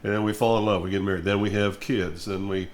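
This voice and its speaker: An older man speaks in a fairly low voice. His talk is conversational and reflective. He comes across as a plainspoken, thoughtful storyteller. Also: level tone, fast, a little hiss or hum. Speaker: music minister and host.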